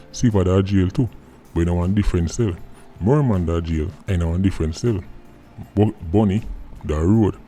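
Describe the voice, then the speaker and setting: A man's voice: deep voice, mellow. A Jamaican man giving explanatory commentary as a vlog host, emphatic and often checking in with "right". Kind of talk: explanatory commentary in Patois-mixed English. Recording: music under the voice.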